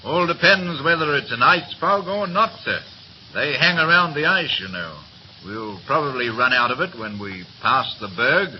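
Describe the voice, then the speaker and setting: An actor playing a grizzled quartermaster in his fifties in a 1930s radio play, deferential, measured and guarded. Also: on the high side, animated, lightly acted. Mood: respectful, easy. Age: 50s. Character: grizzled veteran quartermaster of fifty, respectful, steady, guarded. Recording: muffled, hissy 1930s radio recording.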